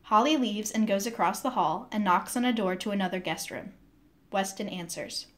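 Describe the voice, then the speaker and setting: Woman reading out a script's stage directions, middle pitch. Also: normal speed, fairly bright tone. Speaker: narrator.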